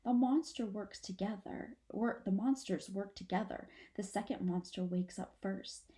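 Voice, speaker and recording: A woman voicing a protective mother possum, gravely and emphatically explaining dangers to her babies in a storybook reading. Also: medium pitch, unhurried, dark tone, natural voice. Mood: grave warning.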